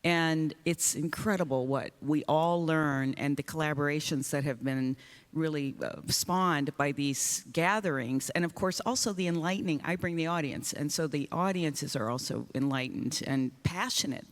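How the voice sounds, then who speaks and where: A woman's voice: sits low, moderate pace. A woman singer speaking earnestly on a panel, often restarting her sentences. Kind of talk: panel discussion. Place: conference hall stage. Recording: long reverb of a large hall.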